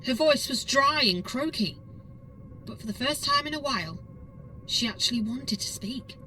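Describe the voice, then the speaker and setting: A woman's voice: high-pitched, lively intonation, moderate pace, bright, forceful tone, far from the mic, faint music behind, poor audio. A woman narrating a scripted audiobook story in a dramatic storytelling style.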